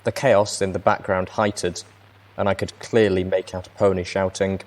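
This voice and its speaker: A man narrating a fan fiction story in first person at a measured, steady pace. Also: low-pitched. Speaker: audiobook reader.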